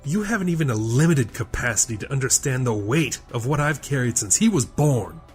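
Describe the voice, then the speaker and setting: An actor playing a weary, distrustful, protective older brother in an audio drama, speaking in a guarded, skeptical way. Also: male voice, mid-range voice, lively intonation, fairly quick, faint music behind, natural voice. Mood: wary, challenging.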